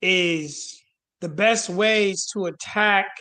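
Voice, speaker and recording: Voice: medium pitch, extremely slow, bright, forceful tone, clean tone. A panel host speaking casually and emphatically, with plenty of profanity and street slang. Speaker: host moderating a panel call.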